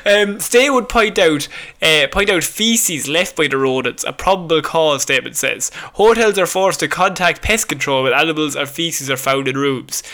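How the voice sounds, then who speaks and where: A man's voice: fairly high pitch, moderate pace, sharp tone. A man co-hosting a podcast, reading odd news and bantering in a jokey, sweary way that easily tips into laughter.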